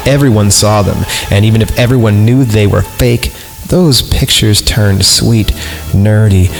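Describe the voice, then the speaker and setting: A man's voice: fairly low pitch, unhurried, creaky. A man reading a horror story as a podcast host, breaking into casual, sarcastic, irreverent asides. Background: background music.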